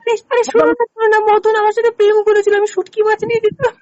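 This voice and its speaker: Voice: extremely high pitch, flat delivery, rapid-fire, a little mellow, dry recording, pitched above the performer's own voice. A prank caller voicing a pestering, whiny village woman who pleads and rambles on a phone call recording. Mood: insistent, rambling. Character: pestering, whiny village woman hunting her runaway lover.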